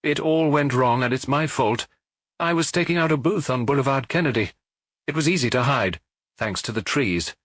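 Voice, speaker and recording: A man's voice: mid-range voice, moderate pace, fairly bright tone, dry recording, pitched above the performer's own voice, lightly acted. A man voicing an earnest, apologetic young policeman eagerly reporting in an audiobook.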